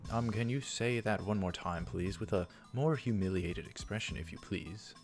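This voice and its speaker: A man's voice: fairly low pitch, moderate pace, soft background music, natural voice. A man voicing a lecherous, pushy male nerd in a visual novel read-through, leering and pleading.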